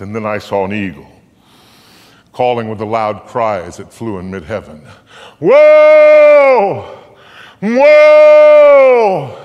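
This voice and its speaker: An older man giving a live theatrical recitation of scripture on stage, solemn and declamatory, with deliberate pauses and swells. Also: mid-range voice. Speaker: dramatic reader. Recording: reverberant hall, long echo.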